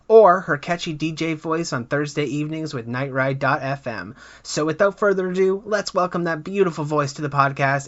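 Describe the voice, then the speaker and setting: A man's voice: a little high, a bit bright, no room sound. A man hosting an interview podcast, eager and stumbling, often repeating words as he talks. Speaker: podcast host. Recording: dull, dry recording.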